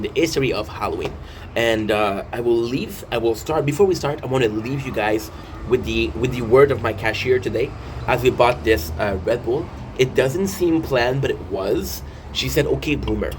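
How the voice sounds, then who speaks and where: A man in his thirties with a mid-pitched voice hosts a casual, rambling vlog. He is playful and often interrupts himself with jokey asides. There is clear noise behind his voice. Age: 30s. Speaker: video host.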